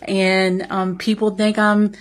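A woman's voice: medium pitch, level tone, takes it slowly. A woman with a Southern American accent and a slight speech impediment, talking earnestly and carefully in a reflective personal way. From Southern United States. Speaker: social media video responder. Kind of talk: reflective personal talk to camera.

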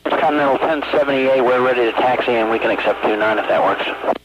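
Male pilot, mid pitch, procedural radio calls, muffled transmission, static. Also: quick pace. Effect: air traffic radio. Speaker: airline pilot. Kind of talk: radio calls to air traffic control.

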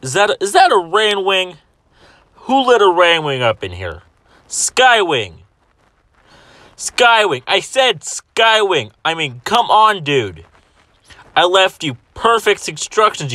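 A man playing an irate, contemptuous dragon in an amateur audition, delivering scripted insults with drawn-out, scornful emphasis. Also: on the high side, pushed and bright, dry recording. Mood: exasperated. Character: irate, contemptuous male dragon berating an underling.

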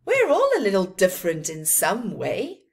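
A woman reads the part of a caring young-hearted male animal friend from a picture book. The delivery is lively, earnest and emphatic, full of encouragement. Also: mid-range voice, moderate pace. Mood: reassuring.

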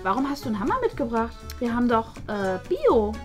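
A woman's voice: a little high, sing-song, a little fast, soft background music. A woman playing a cheerful, accommodating teenage schoolgirl roommate in a web series, with an agreeable manner. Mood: friendly, curious. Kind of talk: improvised acted web series.

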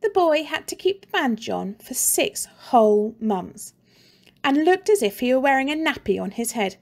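A woman teacher reading a children's story aloud in a slow, expressive and playful way. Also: sits high.

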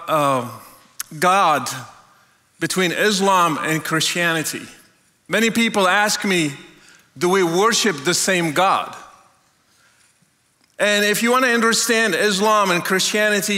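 A man's voice: high-pitched, bright, forceful tone. A middle-aged man from Morocco giving an earnest, conversational sermon on a large church stage, speaking into a headset mic. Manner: earnest, conversational, some restarts. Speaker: guest speaker. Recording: long hall reverb.

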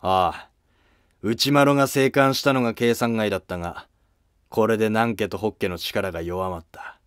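A man's voice: lowish voice, moderate pace, clean tone, no room sound, clean studio sound, natural voice. A man playing an ambitious, scheming Heian courtier with formal, calculating period speech. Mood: plotting.

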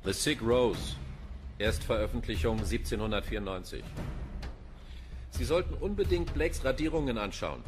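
An actor playing a cynical, erudite middle-aged police pathologist in a German radio crime drama, wordy and dryly pedantic. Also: male voice, medium pitch, lively intonation, normal speed, over music, lightly acted. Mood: reciting, savouring. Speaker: forensic pathologist character.

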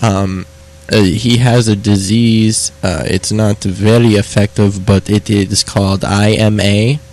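A man's voice: fairly low pitch, steady tone, measured pace, darkish tone, poor audio. A man voicing an insistent foreign doctor on a prank call, speaking hesitant broken English and repeating himself. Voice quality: broken English with dropped endings. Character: foreign male doctor delivering test results, insistent. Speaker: prank caller.

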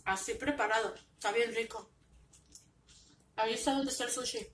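A woman's voice: fairly high pitch, normal speed, a bit bright, slight background noise. Woman chatting between bites, roomy echo. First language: Spanish. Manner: talks between bites, hums while eating. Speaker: mukbang vlogger. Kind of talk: casual eating vlog, chatting while chewing. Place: home living room, indoors. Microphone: webcam at table. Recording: echoey room, mic a bit far.

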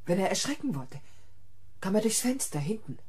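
A haunted, stubborn woman in a radio drama. Her voice is husky and mid-pitched. The melody moves widely, from bleak murmurs to defiance. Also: sing-song, normal speed, darkish tone. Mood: flat, bitter. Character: traumatized, stubborn woman who killed her tormentor. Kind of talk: German radio play acting.

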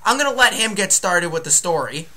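A man's voice: high voice, expressive, a little fast, sharp tone. A young man telling a story casually and crudely, as host of a gaming video. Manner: crude, joking. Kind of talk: casual storytelling over gameplay.

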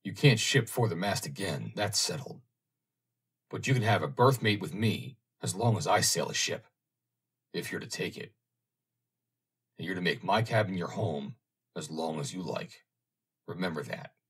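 A man's voice: low-pitched, lively intonation, normal speed, pauses often, a bit bright, breathy edge, heavily acted. A man reading an audiobook, voicing a blunt, plain-spoken middle-aged sea captain.

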